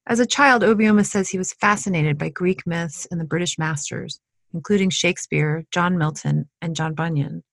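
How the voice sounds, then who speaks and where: A woman hosting a podcast, reading a scripted introduction of her guest in an even manner. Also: lowish voice, no room sound. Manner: even, read-aloud delivery. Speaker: podcast host. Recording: dry studio sound with faint music.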